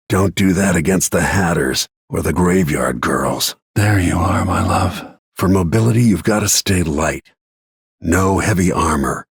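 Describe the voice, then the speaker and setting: A grim middle-aged assassin from a video game. His voice is very husky and rasping, sitting low, with terse, restrained delivery. He sounds world-weary and brooding, recorded close and dry. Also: no echo, heavily acted. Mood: brooding, measured. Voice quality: gravelly, husky. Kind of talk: scripted video game voice lines.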